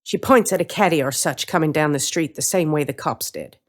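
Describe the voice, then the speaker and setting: A woman's voice: low-pitched, a little fast. A woman reading a first-person story in character, with a wry, streetwise, colloquial manner. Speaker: story reader.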